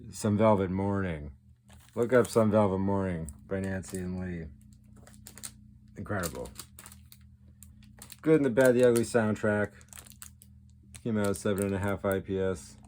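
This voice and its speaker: A man's voice: deep voice, darkish tone, slight background noise. A man giving a casual, off-the-cuff show-and-tell as a collector, rambling along with geeky enthusiasm. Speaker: record collector, video host.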